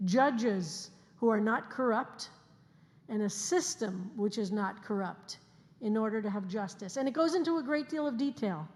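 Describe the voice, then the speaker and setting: A woman in her sixties, a rabbi, giving an earnest sermon to her congregation and slowing down to stress key phrases. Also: a little high. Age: 60s. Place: synagogue sanctuary, livestreamed service. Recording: long hall reverb.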